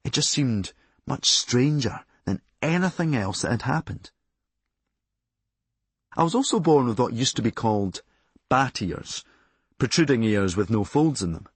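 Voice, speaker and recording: A Scottish man from Glasgow narrates a memoir in a husky voice. His delivery is deadpan and drily comic, yet the pitch moves in lively swings. The sound is dry and free of room echo. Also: a little slow, pauses often. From Glasgow, Scotland. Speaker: audiobook narrator and author.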